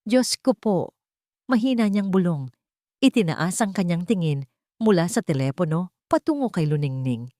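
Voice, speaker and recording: Low-toned woman narrating a dramatic story. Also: big pitch swings, normal speed, mellow, dry recording, studio quality.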